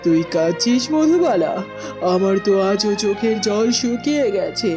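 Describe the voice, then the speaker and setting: A woman's voice: mid-range voice, sing-song, normal speed, close to an everyday voice. A defeated fairy-tale queen, played by a woman, speaks with bitter drama in a mid-range voice. Faint music runs underneath. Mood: ashamed, crushed. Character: defeated, proud queen sunk in shame. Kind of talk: melodramatic cartoon dialogue.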